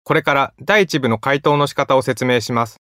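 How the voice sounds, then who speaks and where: Brisk man reading test instructions. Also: medium pitch, no echo, clean studio sound. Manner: clear, even, formal delivery. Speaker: exam announcer.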